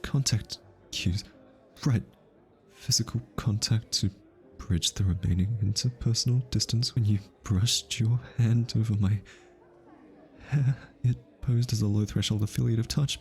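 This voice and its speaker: A man playing an easily flustered, lovestruck hitman in an intimate ASMR roleplay, halting and stammering as he nervously over-explains. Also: medium pitch, vocal fry, a little mellow.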